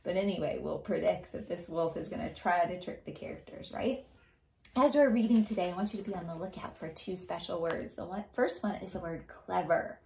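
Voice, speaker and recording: A woman's voice: fairly low pitch, a little fast, creaky, darkish tone, reverberant. Woman teaching children in a read-aloud lesson. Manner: explanatory, addressing young students.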